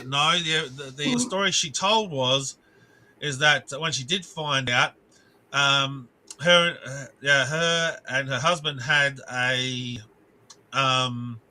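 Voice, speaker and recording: A man's voice: a little high, pushed and bright, rough recording. A man ranting on a live stream, rambling and restarting his sentences, swearing and breaking off to greet viewers in the chat.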